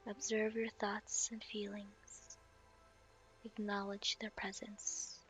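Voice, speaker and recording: A woman guiding a meditation in a soft, slow, half-whispered voice. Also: medium pitch, completely flat delivery, soft background music, low-quality recording. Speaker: meditation guide. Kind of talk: guided meditation.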